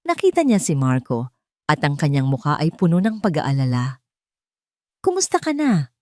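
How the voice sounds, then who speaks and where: Low-voiced woman narrating a dramatic love story. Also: sing-song, moderate pace, darkish tone, no echo, clean studio sound. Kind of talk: scripted narration of a romance audio story.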